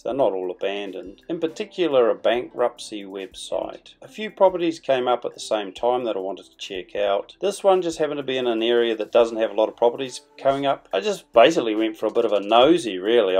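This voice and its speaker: A man's voice: mid-range voice, a little mellow. A middle-aged man narrating a vlog in a chatty, playful, colloquial way over music. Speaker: vlog host. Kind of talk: casual vlog storytelling voice-over. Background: background music.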